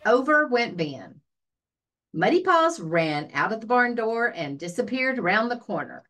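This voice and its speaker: A woman librarian reads a children's story aloud. She takes her time and lets her pitch rise and fall expressively, shaping each phrase for young listeners. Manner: expressive storytelling with deliberate pacing. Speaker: librarian reading children's picture books aloud.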